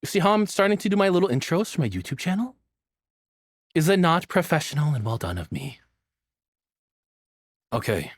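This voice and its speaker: A man talks like a casual reaction host, with pitch that swings around a lot. He is flippant and rambling, happy to swear, and recorded close and dry with no room sound. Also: very animated, normal speed, pauses often, dry recording. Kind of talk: casual joking reaction commentary.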